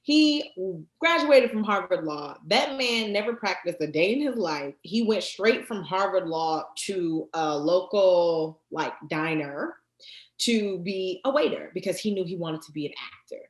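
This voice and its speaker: A woman's voice: on the low side, unhurried. Chatty woman in an echoey room. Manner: lively, chatty, many fillers. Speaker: guest, card deck creator. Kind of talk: casual interview chat.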